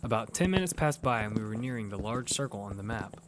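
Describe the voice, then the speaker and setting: A man's voice: on the low side, animated, fast, very noisy, lightly acted. An actor playing a wary young man who narrates and speaks in a battle-survival audio drama. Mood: narrating, tense. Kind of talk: first-person audio drama narration and dialogue. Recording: music behind the voice.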